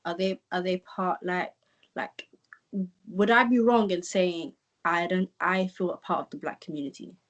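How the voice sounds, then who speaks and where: A woman speaking as a guest on a casual podcast. Her voice is slightly low for a woman, and she often hesitates before trailing off into a quieter register. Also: fairly even intonation, normal speed, dull audio. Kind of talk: casual conversational interview.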